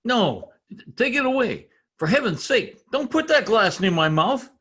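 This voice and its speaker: An actor playing a cornered, murderous husband in formal, theatrical 1940s radio drama style. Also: male voice, extremely high pitch, very animated, measured pace, pushed and bright, a little hiss or hum, full character voice. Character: timid-looking murderous husband, indignant and cornered.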